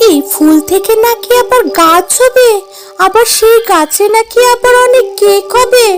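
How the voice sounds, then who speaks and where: A woman's voice: very high-pitched, animated, a little slow, over loud music, pitched above the performer's own voice. A woman voicing a stern, suspicious mother with a scolding, questioning manner in a cartoon. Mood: dismissive. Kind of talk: cartoon story voice acting.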